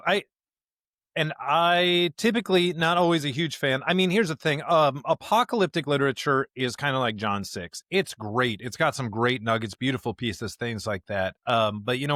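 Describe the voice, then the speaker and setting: A man's voice: mid-range voice, normal speed, a bit bright, no echo, clean studio sound. A man co-hosting a podcast, talking through and reading scripture in a conversational, thinking-aloud way. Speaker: podcast co-host discussing scripture. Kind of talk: conversational Bible commentary with read-aloud scripture.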